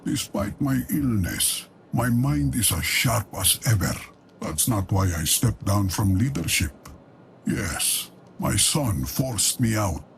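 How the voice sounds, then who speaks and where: A very gravelly, slow old patriarch. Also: male voice, lowish voice, a little hiss or hum, exaggerated voice. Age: older. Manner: grave, measured, deliberate pauses. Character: ailing, cunning old deposed dynasty patriarch, grave and secretive. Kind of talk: dramatic game cutscene monologue.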